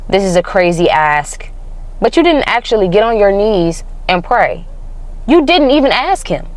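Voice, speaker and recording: A young woman in her twenties vlogging to camera, chatty and upbeat, a bit congested, talking from her car. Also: on the low side. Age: 20s. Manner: chatty, upbeat, uses y'all. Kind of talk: casual vlog talk to camera.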